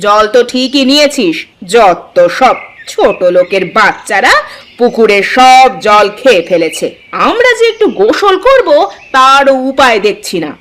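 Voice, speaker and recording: A woman's voice: on the high side, very animated, measured pace, fairly bright tone. A woman voicing a scornful, quarrelsome rich wife in a village cartoon. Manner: scornful, scolding, insulting. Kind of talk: cartoon village drama acting.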